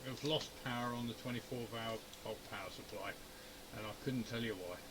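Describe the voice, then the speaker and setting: A man's voice: medium pitch, a little fast, background noise. A man chatting informally and thinking aloud as a hobbyist host, grumbling his way through a hands-on equipment demonstration. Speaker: amateur radio hobbyist and video host. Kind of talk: casual unscripted shack demonstration, muttering through troubleshooting. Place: amateur radio shack at home.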